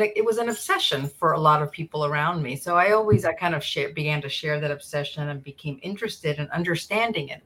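Deep-voiced middle-aged woman interviewee. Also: fairly bright tone. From United States, in a Cuban immigrant community. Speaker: interview guest, history professor and author. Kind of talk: thoughtful conversational explanation.

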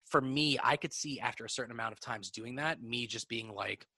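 A man's voice: mid-range voice, a little fast, bright, forceful tone, breathy edge. A man chatting casually and swearing freely, with lots of filler "like.". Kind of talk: casual conversation.

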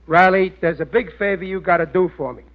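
An actor playing a good-natured, gullible, middle-aged working-class American husband who blusters ungrammatically in a 1940s radio comedy. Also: male voice, high-pitched, fairly quick, natural voice. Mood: fond, eager. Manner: blustery, ungrammatical everyman talk. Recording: muffled vintage radio recording.

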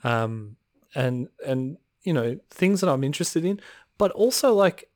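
A man co-hosting a podcast in casual chat, slightly hoarse, ranting in frustration with frequent sighs. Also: a little high, lively intonation, unhurried, darkish tone, no echo, studio quality. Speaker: podcast co-host.

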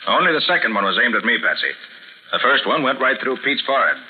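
An actor playing a confident, wry American private detective in a 1940s radio drama, brisk and dryly sarcastic. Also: male voice, mid-range voice, animated, lightly acted. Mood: businesslike. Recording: hissy, tinny 1940s radio broadcast.

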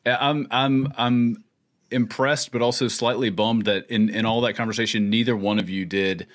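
A man hosting a casual video podcast, relaxed and joking with many restarts. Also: medium pitch, moderate pace. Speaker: podcast host.

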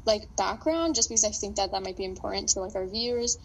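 A woman's voice: mid-range voice, animated, fast talker, gravelly, rough recording. A teenage girl from the United States co-hosting a podcast, chatting casually with many fillers and giggles. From USA. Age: teens. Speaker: podcast co-host. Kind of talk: casual chat.

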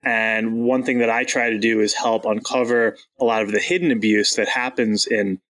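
A man's voice: medium pitch, fairly even intonation, normal speed, fairly bright tone, rough recording. A young man from Long Island, a guest in an interview, talking openly and earnestly about his past, with fillers here and there. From Long Island, New York. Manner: earnest, open, with occasional laughs and fillers. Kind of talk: candid interview conversation.

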